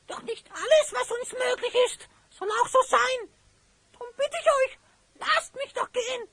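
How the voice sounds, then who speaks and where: A man voicing a cringing, whining hyena spy in an audio drama, servile and theatrical, speaking in rhymes with a shrill, cackling voice. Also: unhurried, creaky, bright, forceful tone, heavily acted. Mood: fawning, plaintive.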